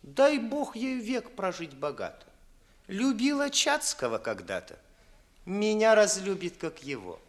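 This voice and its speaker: High, sugary young male flatterer. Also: measured pace, heavily acted. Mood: evasive, timid. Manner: insinuating, sugary, theatrical. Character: smooth-tongued, servile young secretary, a timid flatterer. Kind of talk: 1950s Russian classical radio theatre acting.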